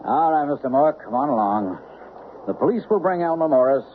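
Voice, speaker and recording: A man playing a brisk, taunting official in charge in a radio mystery. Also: on the high side, animated, natural voice. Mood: triumphant. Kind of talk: old-time radio mystery acting. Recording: muffled vintage radio broadcast, faint hiss.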